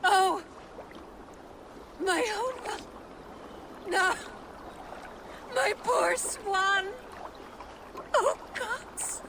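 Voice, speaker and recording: A woman's voice: very high voice, extremely slow, pauses often, noisy background, exaggerated voice. An actress playing a grieving, anguished wife mourning her fallen warrior in a game drama. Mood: grief-stricken. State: anguished, tearful. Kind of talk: dramatic game cutscene acting.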